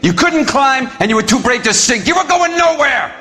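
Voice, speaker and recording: An actor playing a greedy, scornful, cold-blooded villain from a boxing racket. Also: male voice, very high voice, lively intonation, normal speed, noisy background. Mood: furious, scornful. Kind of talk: melodramatic game voice acting. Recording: reverberant hall, loud music behind.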